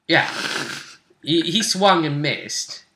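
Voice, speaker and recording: A British man talks as a game master looking back on a role-playing session. His voice is bright and forceful with animated swings in pitch, and his delivery is casual but emphatic. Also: slow pace, vocal fry, echoey room, a little hiss or hum.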